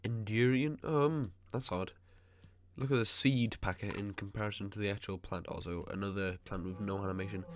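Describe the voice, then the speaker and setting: Man with a stuffy, nasal cold voice. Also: on the low side. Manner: nitpicking, chatty, quick asides. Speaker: gaming video host. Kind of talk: casual gameplay commentary.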